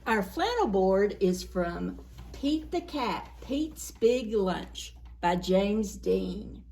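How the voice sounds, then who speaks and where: A woman storyteller reading a picture book aloud to young children in a playful, dramatic, expressive way. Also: medium pitch, extremely slow. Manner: animated, playful, dramatic reading with gasps and exclamations; addresses young children with simple questions.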